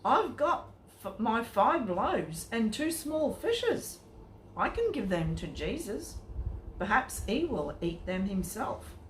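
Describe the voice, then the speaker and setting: A woman's voice: fairly high pitch, sing-song, takes it slowly, voice pushed up. Woman reading as an earnest small boy, echoey room. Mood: eager, hopeful. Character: eager, generous small boy in a Bible story. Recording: echoey room with faint noise.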